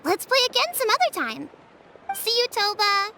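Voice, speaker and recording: A woman's voice: extremely high pitch, big pitch swings, normal speed, background noise, exaggerated voice. A woman voicing an earnest young child in a video game, sincere and plain-spoken. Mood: contrite, friendly.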